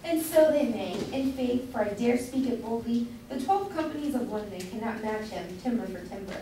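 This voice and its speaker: A woman's voice: fairly high pitch, lively intonation, moderate pace, natural voice. A woman playing an opinionated, chatty citizen's wife in an amateur staged reading, speaking in an emphatic, gossipy way. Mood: proud, boastful. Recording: echoey room from far off, background noise.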